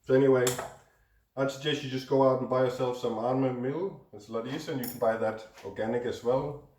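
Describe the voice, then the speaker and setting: A hoarse, congested man hosting a cooking show, chatting casually as he mixes ingredients in a home kitchen. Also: medium pitch, steady tone, a little fast, a little mellow. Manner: chatty, talks while mixing food. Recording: roomy echo, distant mic, faint noise.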